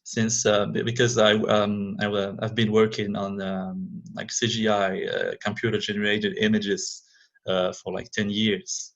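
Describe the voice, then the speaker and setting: Creaky-voiced man, halting English. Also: lowish voice, level tone, highs cut off. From Algeria. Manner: halting, searches for English words. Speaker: guest. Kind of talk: casual interview.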